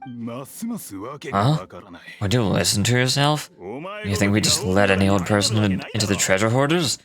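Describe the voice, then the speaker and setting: An actor playing a gruff, sneering male bandit in a video game who talks in blunt slang. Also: lowish voice, measured pace, over music, close to an everyday voice.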